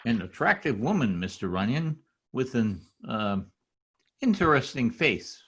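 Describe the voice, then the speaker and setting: An actor playing a meek, henpecked little husband, hesitant and apologetic, in an old-time radio drama. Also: male voice, mid-range voice, very animated, unhurried, a bit bright. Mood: deferential.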